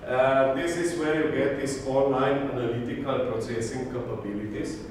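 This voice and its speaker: A man's voice: mid-range voice, fairly even intonation, moderate pace, a little mellow, recorded from a distance. Slovenian-accented man lecturing in an echoey hall. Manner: self-deprecating, lists items one by one. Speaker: conference speaker. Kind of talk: technical conference talk. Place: large conference hall. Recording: echoey hall, speaker off-mic, audible noise.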